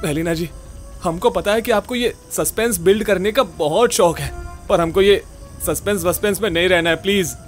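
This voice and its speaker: A man playing an earnest, excitable young ghost-case solver in a horror-comedy audio drama, colloquial and mixing in English. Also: high-pitched, normal speed, close to an everyday voice. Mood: uneasy, impatient. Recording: dramatic music under voice.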